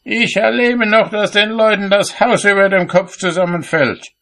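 A man voicing an irascible, fault-finding master cobbler in a storybook, shouting and scolding indignantly. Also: high voice, steady tone, moderate pace, pitched above the performer's own voice.

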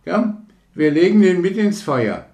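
A man voicing carefree young boys with a loud, brash way of talking in a storybook tale. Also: fairly high pitch, animated, normal speed, voice pushed up, lightly acted. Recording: roomy echo.

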